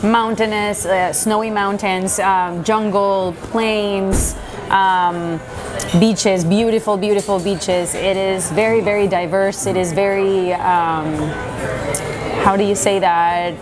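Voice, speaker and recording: A woman's voice: medium pitch, steady tone, takes it slowly, over music. Young Venezuelan-born woman, earnest. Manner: earnest, with many fillers and restarts. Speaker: guest. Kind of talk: casual interview conversation.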